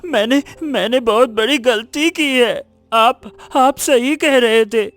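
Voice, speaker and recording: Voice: medium pitch, slow, a bit bright. A performer voicing Raju, an impulsive, emotional young son in a cartoon moral story, often repeating words. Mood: desperate, pleading. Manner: stammering, repeats words when anxious. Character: Raju, greedy boastful young man turned desperate, remorseful son.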